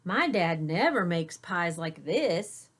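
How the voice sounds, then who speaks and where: A woman's voice: on the low side, very animated, slow pace, a bit bright, exaggerated voice. A woman voicing a friendly, curious young neighbor boy in a storybook, with a questioning, emphatic manner. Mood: impressed.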